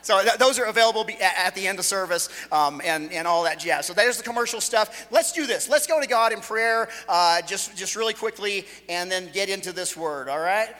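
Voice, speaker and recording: A man in his late forties gives a loose, joking sermon with a very high voice. His tone is bright and forceful, and he talks conversationally, restarting his sentences often. The sound carries the long reverb of a church hall. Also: expressive, brisk, few pauses. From Los Angeles, California. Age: 40s. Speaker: visiting preacher.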